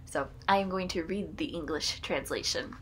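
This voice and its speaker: A woman's voice: sits low, normal speed, fairly bright tone, slight background noise, rough recording. A woman in her thirties with a Midwestern accent, chatting to her webcam as a channel host at home and reading a poem aloud with care. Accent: American Midwestern. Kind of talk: casual vlog with a poetry reading. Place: home room with bookshelves, indoors.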